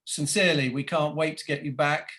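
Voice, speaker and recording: A man's voice: fairly high pitch, steady tone, a little fast, sharp tone. Hesitant man hosting an interview. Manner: hesitant, lots of restarts. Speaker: interview host. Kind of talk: online interview. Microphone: video call.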